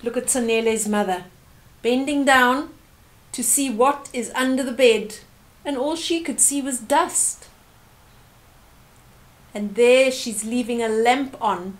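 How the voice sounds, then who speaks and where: A woman's voice: a little high, a little slow, low-quality recording. An older woman hosting a story time, reading aloud expressively and explaining things to young children.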